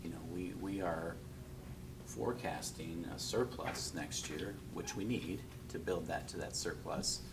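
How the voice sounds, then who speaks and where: A very low-voiced man explains budget choices at a school board meeting. He talks in a steady, explanatory way with plenty of ums. The mic sits well away from him, and a constant rumble runs underneath. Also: creaky, far from the mic. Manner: measured, explanatory, with frequent fillers. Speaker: district administrator answering board questions. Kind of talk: public meeting discussion.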